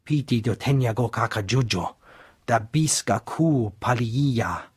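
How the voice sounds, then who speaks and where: Husky alien in invented tongue. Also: male voice, mid-range voice, animated, unhurried, dry recording, clean studio sound. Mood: insistent. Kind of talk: dramatic video game acting in invented alien language.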